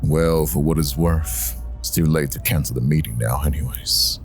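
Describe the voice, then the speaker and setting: A man's voice: extremely low pitch, moderate pace, soft background music. A man playing a stern, realistic demon of royal rank in an audio drama, speaking in a sober, dry, formal manner.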